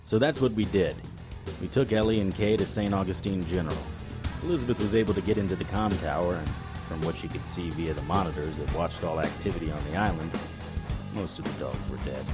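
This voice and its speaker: A man's voice: low-pitched, fairly quick, music in the background, lightly acted. A man acting a wry, tough private investigator with sardonic delivery in an audio drama. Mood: narrating.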